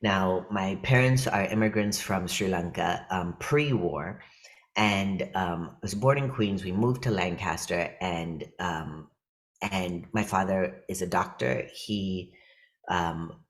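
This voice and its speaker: A man from California with a deep, low voice, speaking to a workshop over a video call. He talks in a loose, reflective way, full of fillers and restarts, like someone chatting rather than lecturing. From California, USA. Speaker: workshop presenter and comedian. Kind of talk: casual talk to an online workshop.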